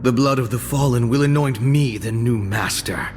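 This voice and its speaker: An actor voicing a cold young male shadow assassin in a video game, grave and measured. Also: medium pitch, a little mellow, natural voice. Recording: game audio with music behind.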